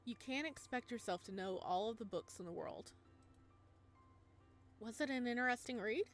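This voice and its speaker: A woman's voice: on the high side, moderate pace, soft background music, close to an everyday voice. A woman voicing a teasing, playful young librarian who is an old friend of the hero, read from a visual novel. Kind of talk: reading a visual novel aloud.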